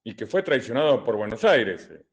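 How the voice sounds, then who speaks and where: Older male commentator, Argentine accent, mid-pitched voice; explains deliberately like a lecturer, repeating points for emphasis. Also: very animated, lo-fi sound. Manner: didactic, deliberate, emphatic with repetition. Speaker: retired colonel and political commentator. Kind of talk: spoken political commentary answering listener questions.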